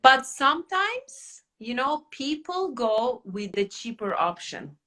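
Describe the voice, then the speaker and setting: Woman host with a Turkish accent, animated and quick to laugh, bright tone. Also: a little high, a little hiss or hum. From Turkey. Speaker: academy owner and podcast host. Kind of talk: casual podcast chat.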